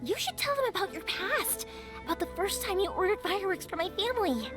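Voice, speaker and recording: A woman's voice: very high-pitched, very animated, a little fast. A young woman voicing a cheerful, talkative fireworks maker in a game cutscene, bubbly and rambling. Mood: chatty. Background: game music.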